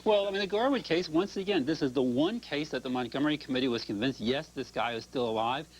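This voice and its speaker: An older man, an author and professor, speaking as the guest on a call-in TV discussion, animated and quick to cut in with agreement. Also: fairly high pitch, husky, poor audio.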